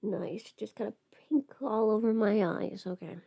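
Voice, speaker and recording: A woman's voice: mid-range voice, moderate pace, vocal fry, warm tone, no echo. Female livestreamer, mid-range voice, off-the-cuff and loosely rambling get-ready chat, mildly sweary, very dry sound. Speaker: livestream host. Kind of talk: casual livestream chat while doing makeup.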